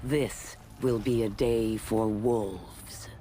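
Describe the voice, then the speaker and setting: A man's voice: on the low side, fairly even intonation, drawn-out words, background noise. An actor playing a grim male warlord who narrates from his fortress in a video game cutscene. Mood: brooding.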